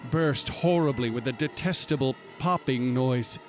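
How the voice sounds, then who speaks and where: A man playing a grave storyteller in a radio drama, narrating in a measured, ornate and ominous manner. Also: medium pitch, close to an everyday voice. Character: grave storyteller recounting a grisly tragedy. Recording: music behind.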